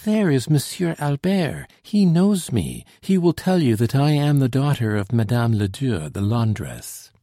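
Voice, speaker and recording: A man's voice: mid-range voice, animated, normal speed, creaky, warm tone, dry recording, studio quality, pitched above the performer's own voice. A man voicing a frightened young woman who pleads anxiously and politely, a trembling voice in a spoken story. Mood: pleading.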